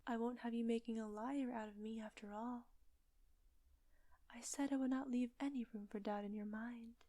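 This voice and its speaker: A seductive witch, acted by a woman in an intimate audio drama, pitched fairly high yet mellow. She is breathy and unhurried, teasing as she gives commands. The sound is close and very dry. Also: fairly even intonation, dry recording, lightly acted. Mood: possessive, teasing. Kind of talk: roleplay audio drama, intimate ASMR-like delivery.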